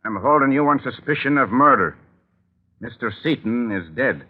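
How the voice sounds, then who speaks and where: A man's voice: medium pitch, animated, normal speed, phone-quality sound, close to an everyday voice. Man acting a stern police captain, noisy old radio. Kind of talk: 1940s radio thriller acting. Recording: noisy, crackly, phone-thin 1940s radio recording.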